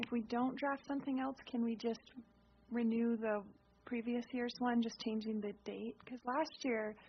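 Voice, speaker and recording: A woman's voice: on the high side, slight background noise. A woman at a committee meeting, thinking aloud and restarting her sentences, recorded in a meeting room. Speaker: committee member. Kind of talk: discussion at a meeting. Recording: dull lo-fi recording.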